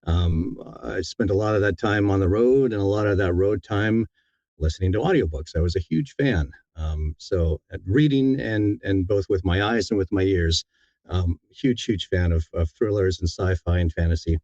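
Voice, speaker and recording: A middle-aged man with a low, mellow voice talks casually as an interview guest. He is reflective and a little halting, often doubling back on words. The audio is dry and slightly dull. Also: dry recording. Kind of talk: casual conversational storytelling.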